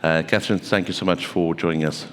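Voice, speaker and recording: A man's voice: low-pitched, fairly even intonation, fast, fairly bright tone. A man hosting a forum who gives a short, polite introduction to the next speaker. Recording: distant mic in a reverberant hall.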